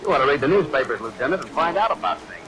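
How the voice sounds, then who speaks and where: A man playing a brisk, wisecracking fellow in a 1940s radio mystery play, on a noisy vintage recording. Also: mid-range voice, very animated, crowd noise, natural voice. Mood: brisk, teasing. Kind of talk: 1940s radio drama acting.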